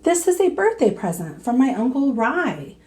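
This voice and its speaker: A woman voicing a big, gentle, courteous male panda in a storybook, speaking politely and kindly. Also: high voice, very animated, moderate pace, voice pushed up. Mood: warm, kindly.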